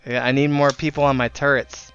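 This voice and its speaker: A man's voice: mid-range voice, level tone, brisk, a bit bright. A man chatting casually as he streams a game, sweary and given to exclamations. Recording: slightly dull, lo-fi stream audio. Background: music.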